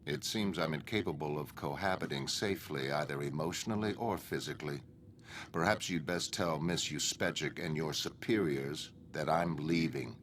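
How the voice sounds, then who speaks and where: A man's voice: very deep voice, normal speed, a bit bright, slight background noise. A man playing an aloof, emotionless superhuman who speaks with formal, resigned detachment. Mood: detached, resigned.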